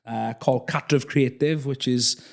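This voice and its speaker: A man hosting a podcast in a casual, conversational tone. His voice sits at an ordinary mid pitch. He is friendly and a little hesitant, filling pauses with soft "uh"s as he gathers his thoughts. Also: steady tone. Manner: friendly, hesitant with frequent uh fillers. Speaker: podcast host. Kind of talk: casual interview chat.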